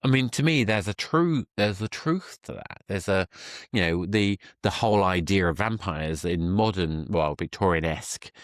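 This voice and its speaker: Male podcast host, low-pitched and enthusiastic, affirming conversational delivery, dry close sound. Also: normal speed, no echo. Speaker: podcast host interviewing a guest.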